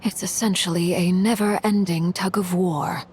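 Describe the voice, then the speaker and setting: A cold, composed noblewoman commander from a video game, voiced by a woman. She speaks formally and with controlled restraint, her voice set a little lower than most women's. A faint noise sits beneath her lines. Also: fairly even intonation, moderate pace, darkish tone, close to an everyday voice.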